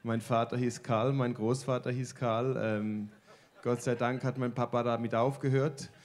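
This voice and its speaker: A teasing, stop-and-start Swiss-accented man of medium pitch, the guest speaker bantering with the host at an event. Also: flat delivery. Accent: Swiss German. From Switzerland. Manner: jokey, teasing, halting. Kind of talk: casual joking stage interview.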